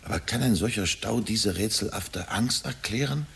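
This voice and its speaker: A man's voice: fairly low pitch, moderate pace, vocal fry, fairly bright tone, slightly rough, heavily acted. An actor playing an anxious, evasive middle-aged husband and father in a German radio drama, hesitant and confessional. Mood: anxious, confiding.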